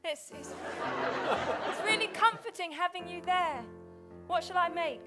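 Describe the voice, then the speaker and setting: A woman's voice: very high voice, expressive, a little slow, over loud music, over a noisy crowd. A woman playing a dreamy, downtrodden young pantomime heroine, earnest and hesitant. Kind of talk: British pantomime comedy.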